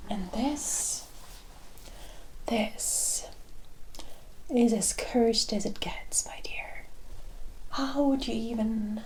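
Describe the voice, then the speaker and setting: A woman plays a teasing forest witch in an ASMR roleplay. Her voice is a fairly high whisper, and she takes her time over every phrase. She sounds worldly and speaks to her human visitor in familiar terms. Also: darkish tone, low-quality recording. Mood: grave, curious. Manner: unhurried, deliberate, familiar terms of address.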